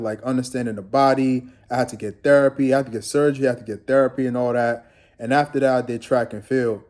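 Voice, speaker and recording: A man's voice: mid-range voice, darkish tone. A young man from Guyana, a fitness trainer, talking casually and rambling to his viewers in a laid-back vlog.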